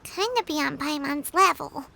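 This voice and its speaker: A tiny female fairy companion for a video game. The voice is squeaky, very bright and extremely high, with a childlike cuteness. It speaks slowly and simply, full of naive curiosity. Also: vocal fry, full character voice. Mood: puzzled, hesitant. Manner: cutesy, simple, expressive. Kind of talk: English video game voice acting.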